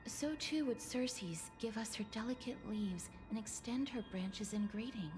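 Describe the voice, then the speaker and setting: A woman's voice: on the high side, measured pace, lightly acted. An actress playing an earnest, polite young woman in an anime game, speaking in a formal, careful, explanatory way. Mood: explaining. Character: earnest, polite young woman, thoughtful guide with reverent air. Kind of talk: anime game voice acting, expository dialogue. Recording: game music clearly behind.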